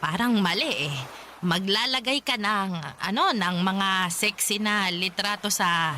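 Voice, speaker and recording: Halting, sharp-voiced old Filipino grandmother. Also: mid-range voice, slight background noise. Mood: hesitant disapproval. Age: older. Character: poor, protective, devout old Filipino grandmother. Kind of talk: Tagalog radio drama acting.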